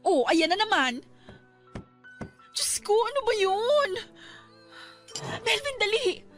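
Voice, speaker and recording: A woman's voice: very high-pitched, big pitch swings, takes it slowly, fairly bright tone, faint music behind, exaggerated voice. A woman playing an anxious, earnest young pregnant wife in a radio drama. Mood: worried. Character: young pregnant wife worried about neighbor child. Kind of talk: Tagalog radio drama acting.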